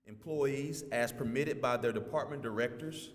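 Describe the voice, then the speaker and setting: A man's voice: mid-range voice, moderate pace. Male official in a reverberant hall. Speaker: city official. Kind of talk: formal press conference statement. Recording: long hall reverb, faint background noise.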